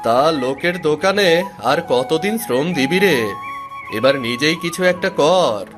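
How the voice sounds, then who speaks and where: A man's voice: fairly high pitch, expressive, normal speed, music in the background, close to an everyday voice. A man voicing a kindly, generous older village fisherman in a cartoon, avuncular and insistent. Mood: advising. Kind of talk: cartoon voice acting.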